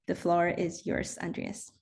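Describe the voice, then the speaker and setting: A woman moderates a panel and reads out a speaker's biography. Her voice sits on the low side, and she breaks the reading with small filler pauses. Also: wildly swooping pitch, vocal fry, darkish tone.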